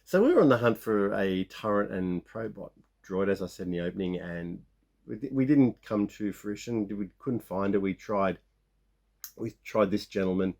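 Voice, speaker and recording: A man in his fifties with a croaky sore-throat voice, rambling casually as he shows off his toy finds. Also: fairly low pitch, animated, normal speed, a little mellow. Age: 50s. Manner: rambling, many restarts and fillers. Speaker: toy collecting vlogger. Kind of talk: casual show-and-tell of toy haul.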